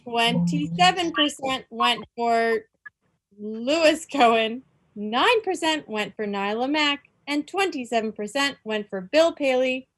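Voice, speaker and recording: A woman with a high, very lively voice hosts a club quiz. She takes her time over each announcement and sounds playfully official. The sound is close and dry. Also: unhurried, dry recording. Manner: playful, mock-formal announcements. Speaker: quiz host at a club meeting. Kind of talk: informal quiz announcing to a group.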